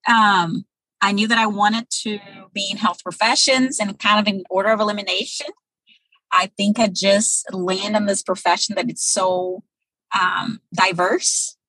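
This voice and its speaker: Woman guest in her thirties, bright husky tone, dry close sound, measured answers with fillers. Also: on the low side, no room sound, rough recording. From Brazil. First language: Portuguese. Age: 30s. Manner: thoughtful, many um pauses. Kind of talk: interview answers.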